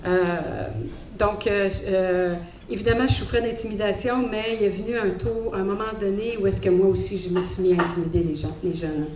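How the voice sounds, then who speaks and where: Quebec French woman sharing a testimony, halting and thoughtful, mid-pitched, recorded in a reverberant hall. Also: fairly even intonation, a little mellow. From Montreal, Quebec. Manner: hesitant, reflective, many fillers. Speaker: guest speaker giving a faith testimony. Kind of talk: personal testimony talk. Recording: echoey hall, faint background noise.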